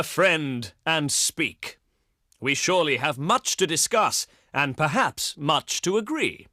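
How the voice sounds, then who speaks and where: A man's voice: high-pitched, sharp tone, dry recording, studio quality, exaggerated voice. A male actor playing a haughty, eloquent ancient Greek diplomat in a video game, speaking in pompous, declamatory oratory. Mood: stately, earnest. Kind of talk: theatrical scripted game voice lines.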